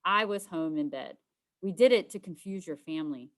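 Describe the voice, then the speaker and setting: A woman's voice: lowish voice, normal speed, lightly acted. A woman voicing a sarcastic, secretive young schoolgirl in a children's storybook, with a curt manner. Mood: explaining, firm. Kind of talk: children's storybook read-aloud.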